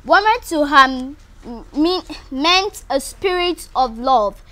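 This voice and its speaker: A woman reading a first-person story aloud, deliberate, with small stumbles over words. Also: high voice, lively intonation, bright, forceful tone, slight background noise. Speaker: reader.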